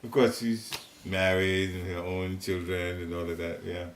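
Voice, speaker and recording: A man's voice: low-pitched, flat delivery, a little slow. An older man from Ghana with a Ghanaian accent, leading a devotional class in a home and talking in a chatty, rambling way with chuckling asides. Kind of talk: informal spiritual teaching talk. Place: living room in a private home. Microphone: seated, room recorder at a distance. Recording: roomy home recording, faint hiss.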